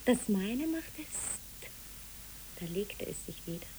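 A woman's voice: mid-range voice, sing-song, slow, warm tone, a little hiss or hum, poor audio. A woman playing an imaginative, matter-of-fact child in a poetic radio play, earnest and plain-spoken. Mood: playful, earnest.

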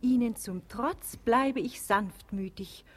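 A self-possessed, defiant daughter with a fairly high voice. She speaks with measured, deliberate calm in a radio comedy. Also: husky. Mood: coolly defiant. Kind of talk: German radio play comedy acting.

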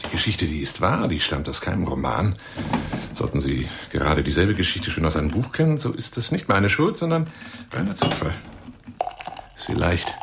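A man's voice: low-pitched, creaky, background noise. A man playing a boastful, touchy lion hunter in a radio play monologue, speaking in a wheedling, pompous manner. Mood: defensive, indignant, then reminiscing. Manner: wheedling, pompous, swinging into whispers. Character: boastful, touchy male lion hunter telling tall tales.

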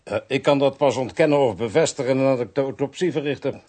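An actor playing a put-upon, sardonic pathologist in a radio play, peevish and precise. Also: male voice, medium pitch, fast talker, a little mellow, lightly acted. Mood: clinical. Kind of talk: Dutch radio play acting.

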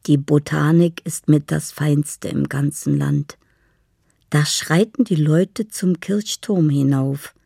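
An actress playing a dreamy, lovelorn woman in a radio drama, husky and gravelly, speaking in a deliberate, poetic way. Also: sits low, dark tone, no echo, studio quality, exaggerated voice. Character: dreamy, lovelorn woman living in her own fantasy.

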